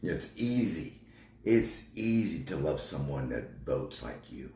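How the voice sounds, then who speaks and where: A very deep-voiced man adds terse, preacher-style exhortations, his pitch rising and falling widely. The recording carries a roomy echo. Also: big pitch swings, takes it slowly, vocal fry, warm tone, a little hiss or hum. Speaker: ministry co-host. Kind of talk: short preaching interjections. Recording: echoey room.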